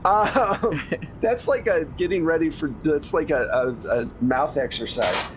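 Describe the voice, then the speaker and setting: A man's voice: fairly high pitch, measured pace. A man speaking as game master, improvising narration in the second person and explaining dice rules in a conversational way. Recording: noisy recording with clear background noise.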